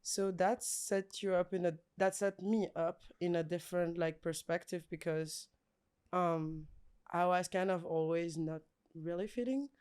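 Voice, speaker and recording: A woman's voice: lowish voice, a little slow, a little mellow, smooth. A young woman from Belgium, a guest speaking thoughtfully in a casual podcast interview.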